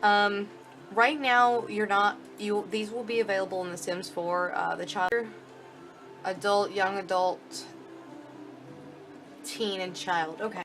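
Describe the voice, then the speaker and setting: A woman's voice: mid-range voice, steady tone, takes it slowly, pauses often, fairly bright tone, faint music behind, low-quality recording. A woman giving casual commentary on a game demo, thinking aloud informally as she goes. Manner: informal, thinking aloud while clicking through. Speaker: gaming video host.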